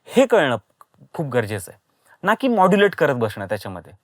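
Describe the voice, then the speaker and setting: A man with an Indian accent speaks as a podcast guest in a very animated, swooping voice. He explains things step by step, often checking he is understood, on a dry, close recording. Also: mid-range voice, fairly quick, dry recording. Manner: explains step by step with frequent check questions like "right?". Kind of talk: casual explanatory interview talk.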